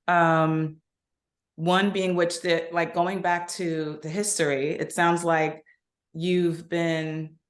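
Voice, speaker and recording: A woman magazine editor hosting an interview, upbeat and encouraging. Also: on the low side. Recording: echoey room.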